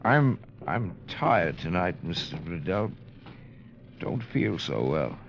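Tired old sea captain, halting and worried, low voice, muffled old radio drama sound. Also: male voice, sing-song, creaky, heavily acted. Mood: weary, resigned. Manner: hesitant, halting. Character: weary, worried aging ship's captain. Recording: muffled vintage radio recording, faint noise.

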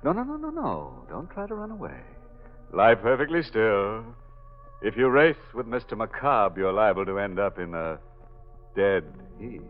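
Ghoulish radio horror host, swooping theatrical delivery, mock-sinister, muffled old recording. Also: male voice, fairly low pitch, sing-song, moderate pace, soft background music. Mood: gleefully sinister. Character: ghoulish, gleefully macabre horror show host. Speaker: host and narrator. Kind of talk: 1940s radio horror acting.

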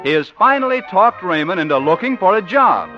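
Male vintage radio announcer, highish and lively, reading scripted introductions on a dulled old recording. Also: brisk. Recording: muffled vintage radio recording over music.

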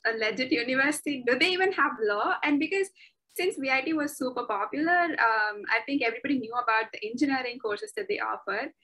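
A young woman from Chennai, somewhere in her twenties, talking through her student years in a loose, chatty way, full of ums and little restarts. Her voice sits a bit high and sounds bright and clear. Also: quick pace, poor audio. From Chennai, India. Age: 20s. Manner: chatty, many fillers and restarts, laughs often. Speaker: interview guest, law graduate. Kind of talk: casual interview talk.

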